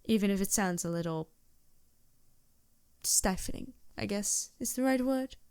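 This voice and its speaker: A woman's voice: mid-range voice, a little slow, clean tone, no echo, studio quality, close to an everyday voice. A woman playing a polite, reassuring vampire merchant in a fantasy ASMR roleplay, speaking formally with small hesitant asides. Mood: genteel, chatty. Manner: genteel, formal phrasing with hesitant asides and soft chuckles.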